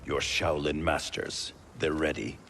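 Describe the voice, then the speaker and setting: A man's voice: very deep voice, steady tone, unhurried, a bit bright, a little hiss or hum, heavily acted. A man acting a grave, suspicious warrior who confronts intruders in a dramatic video game cutscene. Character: stern, suspicious male warrior guarding against intruders.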